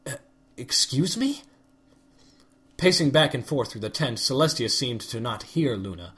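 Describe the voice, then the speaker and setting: A man reading fan fiction aloud in an expressive storytelling manner. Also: medium pitch, normal speed. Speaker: reader.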